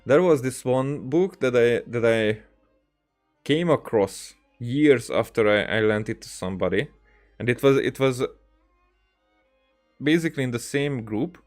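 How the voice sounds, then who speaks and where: Halting man streaming casually over music. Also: medium pitch, a little mellow. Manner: halting, rambling, repeats words as he tells anecdotes. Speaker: game streamer. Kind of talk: casual livestream chat while gaming.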